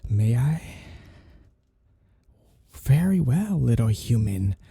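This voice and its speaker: A suave, predatory vampire lord, acted by a man for an ASMR roleplay, with a very dark, mellow voice that creaks at the edges. He teases slowly and condescendingly, savoring each menacing phrase. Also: mid-range voice, sing-song, dry recording, heavily acted. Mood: predatory, flirtatious. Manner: slow, teasing, condescending purr with drawn-out phrases. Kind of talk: ASMR roleplay, intimate scripted monologue.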